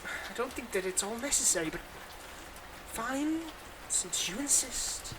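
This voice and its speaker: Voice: mid-range voice, expressive, measured pace, natural voice. Theatrical sarcastic supervillain acted out, over noisy hiss. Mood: sarcastic, exasperated. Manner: mock-condescending, dramatic, chatty asides. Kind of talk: scripted audio roleplay monologue.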